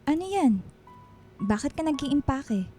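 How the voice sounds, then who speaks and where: A high-pitched Filipino wife and mother in a radio play. She is estranged and firm, yet sounds concerned. Music runs underneath. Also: very animated, unhurried, warm tone. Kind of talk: Tagalog radio drama acting.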